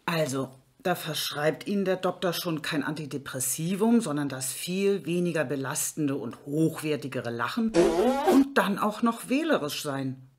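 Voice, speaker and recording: Woman acting a low-voiced, animated pharmacist. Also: moderate pace, slight background noise. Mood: exasperated, explaining. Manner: chatty sales patter, theatrical. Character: brisk, eager female pharmacist selling laughter. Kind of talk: mini radio play dialogue in German.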